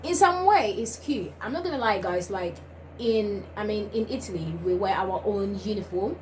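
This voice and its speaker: A woman's voice: mid-range voice, lively intonation, moderate pace, darkish tone. A young woman from Finland, the host of a vlog, chatting casually and animatedly with many fillers and laughs. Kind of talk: casual chat. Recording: noisy home recording.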